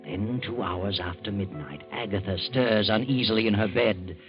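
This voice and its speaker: A man giving measured, ominous scripted narration for an old radio drama. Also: on the low side. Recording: dull old radio recording with music.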